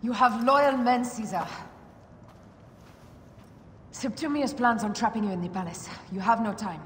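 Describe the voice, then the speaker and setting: An actress playing a grave, assured advisor at an ancient court in a video game cutscene. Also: female voice, on the high side, measured pace, natural voice. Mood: urgent warning. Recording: echoey hall, clear background noise.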